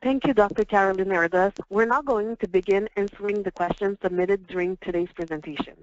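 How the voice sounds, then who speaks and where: A woman's voice: mid-range voice, fairly quick, dry recording. A woman moderating a webinar reads out formal, scripted announcements. She sounds set back from the mic, in dry, slightly muffled audio. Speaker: program manager, webinar moderator.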